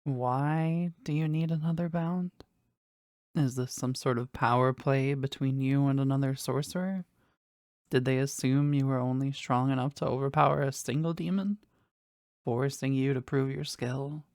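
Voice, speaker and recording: A woman's voice: a bass voice, fairly even intonation, darkish tone, dry recording, clean studio sound. A woman voicing a powerful, devoted, possessive demon in an audio roleplay, with formal, intimate phrasing addressed to a master. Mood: hurt, jealous. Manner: slow, formal, reverent, intimate delivery. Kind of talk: scripted roleplay audio drama, addressed to the listener.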